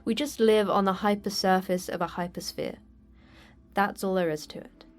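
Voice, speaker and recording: An actress playing a terse, unflappable manager of a secret facility in an audio drama, with a brisk, dry delivery. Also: female voice, medium pitch, fairly even intonation, lightly acted. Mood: dry, deadpan.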